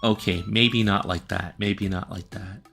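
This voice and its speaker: A man's voice: fairly low pitch, steady tone, a little slow, gravelly, bright, forceful tone. A man casually chatting on his livestream, rambling and often correcting himself mid-sentence. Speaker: livestreaming artist. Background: faint background music.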